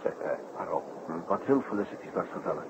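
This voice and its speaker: A man's voice: low voice, lively intonation, fast. A man playing a wry detective who speaks a little hesitantly in a radio drama. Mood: wry, inquisitive. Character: dry, wry male detective. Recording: muffled old radio recording, loud noise.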